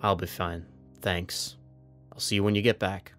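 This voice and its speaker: Man acting a low-voiced, calm stranded survivor. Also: normal speed, faint music behind. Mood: resigned, reassuring. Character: stranded man trapped in frozen time, calm, practical. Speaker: voice actor in an audio drama. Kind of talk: audio drama dialogue.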